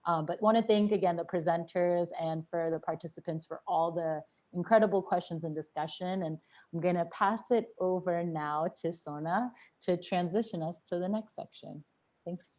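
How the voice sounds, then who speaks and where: Woman, lowish voice, webinar co-host, thanks and handover. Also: moderate pace, a little mellow. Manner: thankful, wrapping up. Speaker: event moderator. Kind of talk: webinar moderation.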